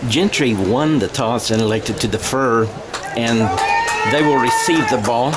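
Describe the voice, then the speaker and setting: A man's voice: mid-range voice, a little slow, loud music behind the voice. An American man doing live radio-style football play-by-play in a conversational way, thinking aloud and correcting himself as he goes. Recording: noisy outdoor game sound.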